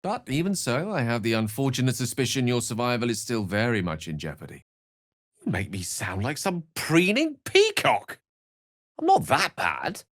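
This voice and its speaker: A man's voice: mid-range voice, very animated, normal speed, fairly bright tone, no room sound, studio quality. A man voicing a courteous, self-assured wizard companion in a video game, articulate and wryly theatrical. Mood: wry, indignant. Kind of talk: video game character voice lines.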